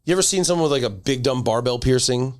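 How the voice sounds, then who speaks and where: A man's voice: mid-range voice, lively intonation, quick pace. A man in his forties who runs a gym, co-hosting a podcast in casual, blunt, joking chat. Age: 40s. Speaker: podcast co-host, gym owner.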